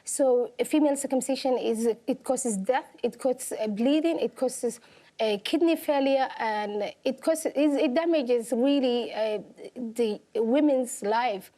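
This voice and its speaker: A woman's voice: on the high side, measured pace, warm tone. A young woman from Somalia, speaking earnestly as an interview guest. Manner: earnest, simple phrasing, laughs easily. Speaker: guest, activist. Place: television studio.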